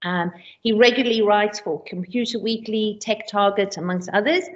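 A woman's voice: medium pitch, fairly even intonation, normal speed. Woman hosting a conference from a podium. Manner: warm formal introductions with frequent ums. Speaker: event host. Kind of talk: introducing a speaker. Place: conference room indoors. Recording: dull lo-fi stream audio.

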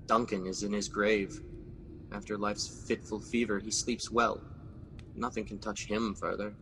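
A man's voice: lowish voice, level tone, slow, pauses often, fairly bright tone, in a large hall, a little hiss or hum. An actor playing Macbeth, a guilt-ridden scheming king, in a classic radio drama. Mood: brooding. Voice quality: brooding, intense.

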